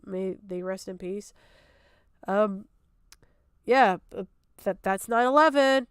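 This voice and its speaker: A woman's voice: mid-range voice, takes it slowly, clean tone, dry recording, studio quality. A woman streaming unscripted reaction commentary, exasperated, sarcastic and prone to swearing.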